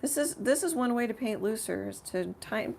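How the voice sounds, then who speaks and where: A woman with a slightly rough voice hosting a casual live painting tutorial, thinking aloud in a rambling way as she works. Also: fairly high pitch, lively intonation, normal speed, darkish tone, a little hiss or hum. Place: home art studio.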